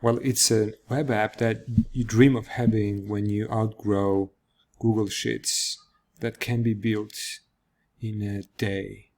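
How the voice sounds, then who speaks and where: A man with a Georgian accent, a native Georgian speaker, walking viewers through a web app in a screen-recorded demo, explaining with pauses and fillers. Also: fairly low pitch, a little mellow. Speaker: software developer demoing a product.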